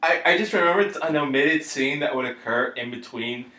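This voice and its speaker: A young man co-hosting a podcast in casual fan chat, excitable and joking. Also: mid-range voice, moderate pace, roomy sound, slight background noise. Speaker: podcast co-host.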